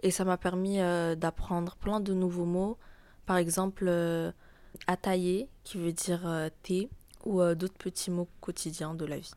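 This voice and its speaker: A teenage girl with a French accent answers questions in a low voice, pausing often with small hesitations. The recording is dry and close. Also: level tone, dark tone, no echo. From France. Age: teens. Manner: hesitant, many pauses with "euh". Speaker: interviewee. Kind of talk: casual interview answers.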